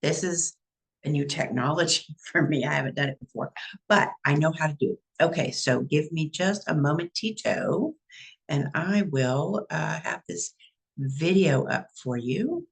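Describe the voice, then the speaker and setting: Chatty Texan woman with gravelly voice. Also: sits low, a little slow. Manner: chatty, self-narrating, laughs easily. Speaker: psychic medium and show host. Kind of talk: casual livestream chat. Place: at home.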